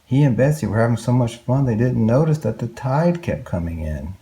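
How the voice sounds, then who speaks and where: A man's voice: mid-range voice, animated, brisk, dark tone, slight background noise. A man reading a picture book aloud to young children, expressively, with exclamations and questions to his listeners. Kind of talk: read-aloud picture book narration with asides.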